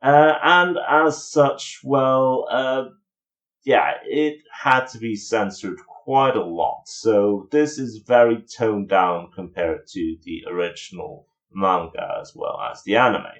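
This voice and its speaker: Male reviewer, lower register, informal commentary, dryly sarcastic. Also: slow pace, a bit bright. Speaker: video reviewer.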